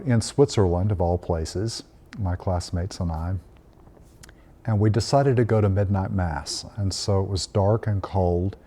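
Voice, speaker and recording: A priest in his fifties from Tennessee, telling Christmas memories in a gentle, unhurried way with frequent "uh" fillers. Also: on the low side. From Tennessee, United States. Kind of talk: reflective Christmas storytelling message.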